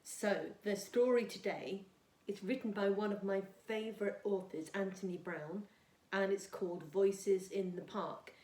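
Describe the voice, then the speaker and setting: A woman's voice: mid-range voice, steady tone, unhurried, a little mellow. Echoey woman introducing a children's story. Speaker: primary school teacher reading a story to her class. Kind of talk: storytime read-aloud for young children. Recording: echoey, roomy sound.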